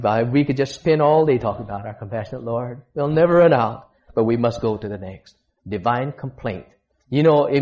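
A missionary preacher from Texas delivers a sermon in a church at an ordinary, middling pitch. He stops, restarts and hunts for words as he goes. The recording is dull and lo-fi. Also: dark tone. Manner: halting, with frequent restarts and searching for words.

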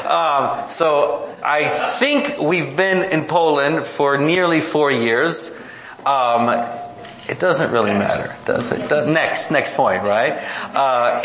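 A man's voice: fairly high pitch, a bit bright. A man who works as a missionary preacher, giving a rambling, self-deprecating report to a church congregation with many restarts. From born in the United States, raised in Mexico. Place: church meeting room. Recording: roomy hall echo.

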